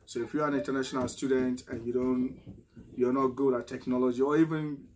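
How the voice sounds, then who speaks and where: A man's voice: medium pitch, little pitch movement, a little fast, crowd noise. A middle-aged man from Ghana with a Ghanaian accent, talking casually to camera as a vlogger, often repeating words and tagging "right?" onto his sentences. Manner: conversational, frequent restarts and "right?" tag questions. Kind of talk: casual advice monologue.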